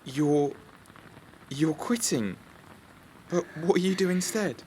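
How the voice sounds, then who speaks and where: A man's voice: fairly high pitch, lively intonation, slow pace, a little mellow, slight background noise. Flustered young man, swooping intonation. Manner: hesitant, apologetic, easily flustered. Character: sheepish, excitable young man, amateur video maker. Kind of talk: audio drama acting.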